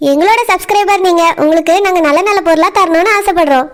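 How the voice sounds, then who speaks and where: A woman's voice: very high voice, quick pace. A woman presenting a chatty product promotion for a channel.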